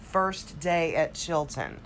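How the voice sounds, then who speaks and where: A woman podcast co-host with a throaty voice from a cold, chatting casually and haltingly through a TV recap. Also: sits low, a little hiss or hum, poor audio. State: recovering from a cold, slightly congested.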